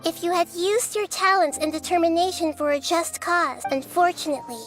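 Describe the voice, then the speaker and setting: An actress voicing a wise, kindly young girl deity in a video game, measured, earnest and gently didactic. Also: very high-pitched, faint music behind. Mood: reproving.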